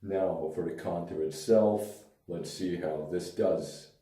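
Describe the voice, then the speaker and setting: A man with a hoarse, tired voice talks through a hair product review in a loose, rambling way. His voice sits very low and sounds dark and mellow. He is recorded from some distance in an echoey room. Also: takes it slowly. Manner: relaxed, rambling, hesitant fillers. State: hoarse, lost voice. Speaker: pomade reviewer.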